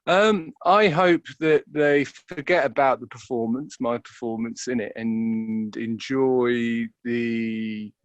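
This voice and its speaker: A man being interviewed as an actor, talking reflectively and informally in a halting, searching way, often repeating words. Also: medium pitch, no room sound, lo-fi sound.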